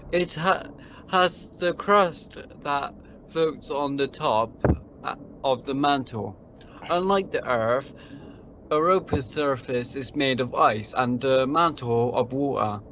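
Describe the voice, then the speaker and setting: A man playing a cynical, unemotional genius scientist astronaut in an amateur radio play, pedantic and deadpan. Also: mid-range voice, slow. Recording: muffled home-made recording, faint noise.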